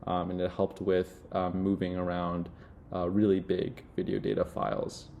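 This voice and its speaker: A young man speaking casually and haltingly with many filled pauses, as in a product demo. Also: sits low, flat delivery, gravelly, warm tone, slight background noise. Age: young adult. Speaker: software demo presenter playing a job candidate.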